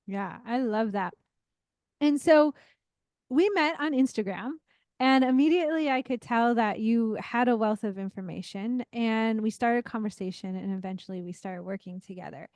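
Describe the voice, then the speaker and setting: A woman's voice: a little high, normal speed, a little mellow, no echo, clean studio sound. A woman hosting a podcast, interviewing a guest in an encouraging way. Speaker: podcast host, business coach.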